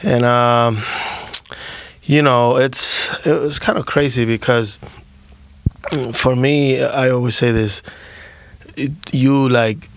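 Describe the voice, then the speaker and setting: Man, 30s, interview guest, halting, self-correcting, quick to laugh. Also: mid-range voice, steady tone, smooth. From Dominican Republic. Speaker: guest musician. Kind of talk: casual interview answers.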